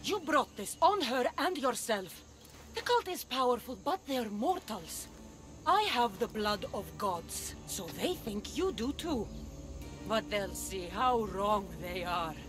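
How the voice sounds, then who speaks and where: A woman's voice: a little high, sing-song, slow pace, music in the background. A woman playing a fierce young demigod cult warrior in a video game, contemptuous and threatening as she talks. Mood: proud threatening. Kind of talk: video game dramatic acting.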